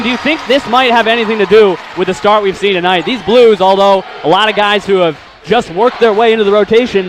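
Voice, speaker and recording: A man calling live basketball play-by-play, rattling off names and stats and shouting on big plays. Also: sits high. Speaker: play-by-play commentator. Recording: live gym crowd and court noise. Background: crowd noise and bouncing basketballs.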